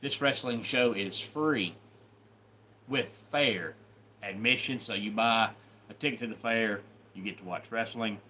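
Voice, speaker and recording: A man's voice: lowish voice, measured pace, bright, forceful tone, a little hiss or hum. A man with a Southern cowboy accent hosting a casual live stream, greeting viewers by name and plugging upcoming wrestling shows in an announcer's manner. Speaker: YouTube live host and wrestling referee. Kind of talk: casual livestream chat.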